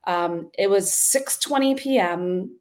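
A woman's voice: medium pitch, takes it slowly, a little mellow. A woman co-hosting a podcast, telling a story in casual chat with many ums and restarts. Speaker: podcast co-host.

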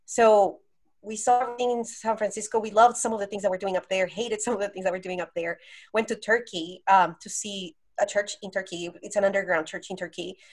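Thirties woman from Colombia, mid-pitched, earnest and reflective interview guest. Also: steady tone, a little fast. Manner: reflective, earnest, with many ums. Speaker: podcast guest. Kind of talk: casual interview storytelling.

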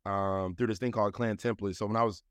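A man's voice: on the low side, steady tone, quick pace, no room sound, studio quality. A man in his thirties, a guest in a casual interview, talking loosely with lots of "you know" and "like" fillers. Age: 30s.

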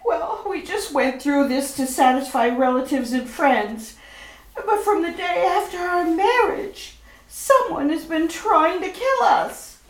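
A woman's voice: very high voice, expressive, takes it slowly, exaggerated voice. A woman playing a frightened young bride in a 1940s-style radio play, speaking in a tearful, trembling way. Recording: echoey room, distant mic.

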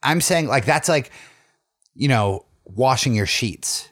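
A man's voice: medium pitch, expressive, takes it slowly, a bit bright, dry recording, clean studio sound. A young American man chatting casually as a podcast co-host, with a teasing, sweary, storytelling manner. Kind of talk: casual chat.

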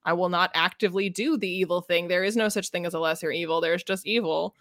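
A woman's voice: on the low side, fairly even intonation, quick pace, a bit bright, dry recording, clean studio sound. A woman co-hosting a book podcast in casual, slangy chat, acting out bits of dialogue as she retells stories. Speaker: podcast co-host discussing books.

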